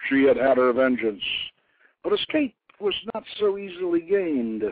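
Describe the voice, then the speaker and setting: A man's voice: medium pitch, big pitch swings. Phone-like male storyteller, theatrical delivery. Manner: theatrical, grandiose, deliberate phrasing. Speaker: storyteller, host reading his own novelette. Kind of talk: dramatic scripted narration of an ancient legend. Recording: very dry internet radio, narrow phone-like band.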